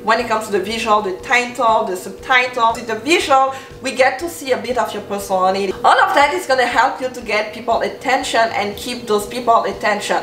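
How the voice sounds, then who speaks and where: A young woman whose first language is French speaks English with a French accent, upbeat and encouraging, and she leans on repetition for emphasis. Her voice sits on the high side. It is recorded from some distance, in a room that adds a noticeable echo. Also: fairly quick, few pauses, a bit bright, faint music behind. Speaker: YouTuber. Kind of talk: talking-head advice vlog. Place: bedroom with bookshelves, indoors. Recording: roomy sound, mic at a distance.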